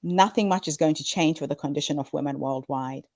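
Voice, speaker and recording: A woman in her fifties from Zimbabwe speaks with a lowish voice, earnest and upbeat as she answers interview questions. The sound is dry and close, with no room around it. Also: fairly quick, dry recording. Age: 50s. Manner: earnest, upbeat storytelling with warm laughs. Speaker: guest, founder of a women's story platform.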